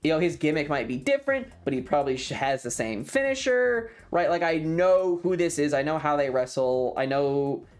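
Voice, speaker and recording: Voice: mid-range voice, expressive, normal speed, hardly any pauses. A streamer chatting casually and enthusiastically in a rambling, colloquial way, like a fan talking to friends. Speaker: streamer and content creator.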